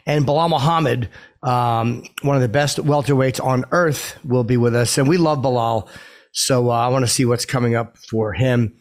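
A man's voice: medium pitch, fairly even intonation, moderate pace. A man in his fifties hosting a radio talk show with a dry, wry manner, speaking into a studio microphone. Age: 50s. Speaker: radio host.

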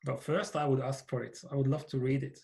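A man's voice: medium pitch, little pitch movement, a little fast, vocal fry, darkish tone. A man from Hungary with a Hungarian accent, a company founder and coach speaking earnestly as a podcast guest, letting his phrases fade at the ends. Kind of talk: podcast interview.

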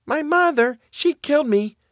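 A man voicing a magical singing bird with the spirit of a boy, in a high singsong storybook verse. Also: animated, moderate pace, a bit bright, dry recording, studio quality. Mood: plaintive singing.

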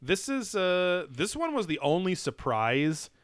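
A man's voice: high-pitched, big pitch swings, a little slow, clean tone, no echo. A man chatting casually as a podcast host, rambling and swearing freely, with lots of fillers and restarts. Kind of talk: casual chat reviewing a TV show.

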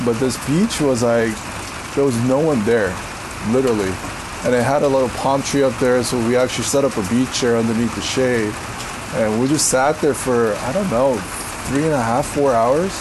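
A deep-voiced man from Korea chats loosely through interview questions, full of fillers and easy laughter. His voice sits in an ordinary middle pitch. Heavy rain patters loudly behind him. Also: moderate pace, background noise. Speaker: interview guest. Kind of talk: casual interview answers.

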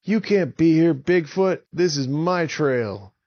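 A man's voice: mid-range voice, takes it slowly, creaky, voice pushed up. A man doing a rude, angry boy. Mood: angry, defiant. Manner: loud, snapping, stubborn. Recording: dull lo-fi recording.

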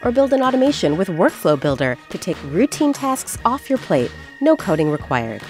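Woman doing an ad voiceover, really animated pitch, faint music under it. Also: normal speed. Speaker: ad announcer. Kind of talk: scripted ad narration.

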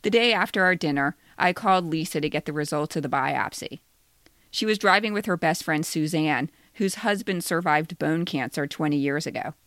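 A woman in her fifties, a podcast essayist, reading her own personal story with deadpan humor. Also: sits low, normal speed, no echo, studio quality. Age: 50s.

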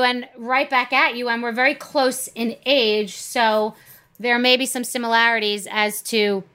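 A woman's voice: medium pitch, sharp tone. A middle-aged woman hosting an interview, introducing her guest and chatting eagerly with him. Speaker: podcast host. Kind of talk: casual interview.

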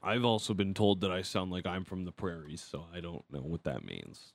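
Male co-host from Alberta, low, wry and relaxed, dry close mic. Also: normal speed, creaky, no room sound. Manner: wry, laid-back. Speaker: radio co-host. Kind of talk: casual radio banter. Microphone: studio mic.

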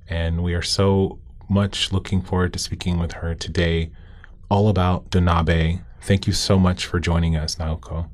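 Deep-voiced male podcast host. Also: a little mellow. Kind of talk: interview.

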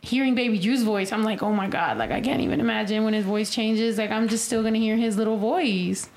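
A woman's voice: mid-range voice, a little fast. A young woman in her twenties chatting casually on a livestream, laughing often and acting out quotes. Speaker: co-host. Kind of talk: casual chat. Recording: faint background noise.

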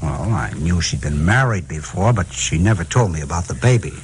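An actor with an American accent playing a mild, courteous, loyal husband in a 1950s radio drama. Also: male voice, low-pitched, expressive, a little fast. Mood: earnest, regretful. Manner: earnest, courteous. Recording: noisy 1950s radio recording.

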